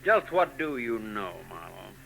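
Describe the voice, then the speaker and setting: A man's voice: fairly low pitch, very animated, a little slow, creaky, fairly bright tone, exaggerated voice. An actor playing a guarded, curt wealthy male engineer in a 1940s radio drama. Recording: old radio broadcast tape with faint noise.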